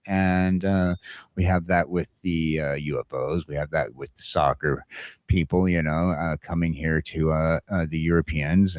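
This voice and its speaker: A man with a very low voice hosts a laid-back morning talk show. He speaks casually and haltingly, circling back to repeat his strong opinions. The sound is close and very dry. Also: a little mellow, dry recording.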